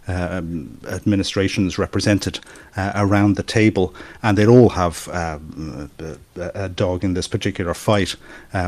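Deep-voiced correspondent. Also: male voice. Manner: hesitant, filler-heavy, explanatory. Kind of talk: radio news report.

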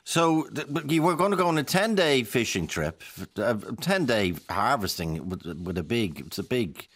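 A man's voice: medium pitch, very animated, moderate pace, fairly bright tone. A man presenting a radio show, questioning a caller in a probing, somewhat rambling way.

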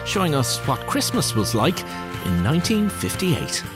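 A man's voice: a little high, huge pitch swings, moderate pace. An Irish man presenting a festive podcast in a scripted style, with music behind him. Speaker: podcast host.

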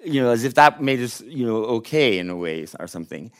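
Lively man hosting a panel. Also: mid-range voice, fast talker. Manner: lively, probing questions. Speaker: host. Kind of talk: panel discussion.

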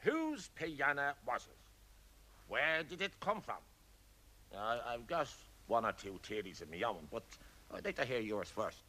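An actor playing an inquisitive, measured Irishman in a radio play, asking probing questions. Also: mid-range voice, big pitch swings, sharp tone, full character voice.

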